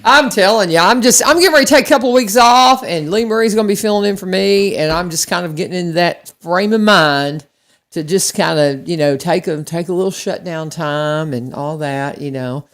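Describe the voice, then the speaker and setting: A woman's voice: lowish voice, moderate pace. A woman hosting a local radio show in a studio, chatting casually and rambling with lots of restarts and fillers. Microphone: studio microphone.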